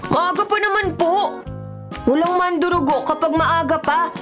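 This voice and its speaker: A woman's voice: extremely high pitch, unhurried, music in the background. A woman playing a curious, stubborn, talkative young boy in a radio drama. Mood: cheeky. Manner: childlike, talkative. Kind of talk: scripted radio drama acting.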